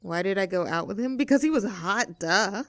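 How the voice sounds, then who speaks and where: A woman's voice: mid-range voice, animated, moderate pace, a bit bright, no room sound. Bratty young mean girl, acted by a woman, close and dry. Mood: confiding, guarded. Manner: bratty, sarcastic, indignant. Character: snooty, abrasive young mean girl under arrest. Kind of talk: acted audio roleplay drama, addressing the listener.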